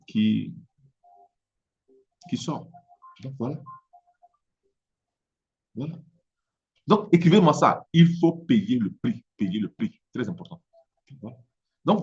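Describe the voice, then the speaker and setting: A business coach from Togo, a man whose first language is Mina, speaking French with an Italian-influenced accent in a halting motivational talk, often repeating words as he searches for them. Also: fairly low pitch, muffled recording.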